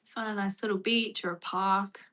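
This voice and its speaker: A woman's voice: medium pitch, steady tone, a little fast, pushed and bright. An Australian woman chatting casually on a livestream, reading and answering viewer comments. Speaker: livestream host.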